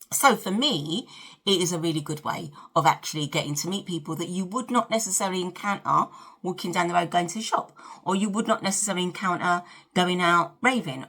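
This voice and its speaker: A woman in her fifties with a South London accent and a low, bright voice. She talks straight to her viewers in a chatty, conversational way, like a casual vlog host getting ready on camera. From South London, England. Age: 50s. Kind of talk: casual chat to camera during a get-ready-with-me.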